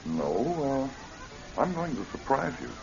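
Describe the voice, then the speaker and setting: An actor in a radio drama playing a male psychiatrist, questioning a patient in a measured, professional manner. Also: medium pitch, very animated, creaky. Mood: reassuring. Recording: dull old radio recording with music.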